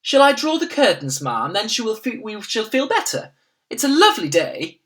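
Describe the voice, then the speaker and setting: A woman's voice: fairly high pitch, big pitch swings, normal speed, pitched above the performer's own voice. A woman voicing a fussy, plain-spoken middle-aged maid who speaks in a deferential, flustered, homely way. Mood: soothing.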